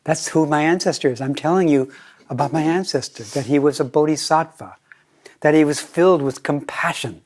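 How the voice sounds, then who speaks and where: An older American man giving a Zen dharma talk, playful and deliberate, often slowing down and repeating his phrases. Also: a little high, darkish tone. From United States. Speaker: Zen teacher.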